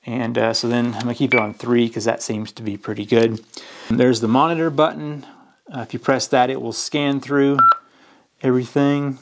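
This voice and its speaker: A man's voice: medium pitch, flat delivery, moderate pace, noisy background. A man explaining plainly, step by step, in a casual hands-on product walkthrough. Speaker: product reviewer. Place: indoors at a work table.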